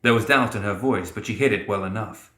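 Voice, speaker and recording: A male audiobook narrator with a fairly low, forceful voice. He reads scripted fiction dramatically, in a room with noticeable echo around the voice. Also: brisk.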